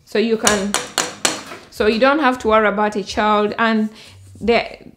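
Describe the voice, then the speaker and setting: A middle-aged woman with a Ugandan accent, explaining and demonstrating a product she invented, halting often with ums and restarts. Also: mid-range voice, steady tone, heavy background noise.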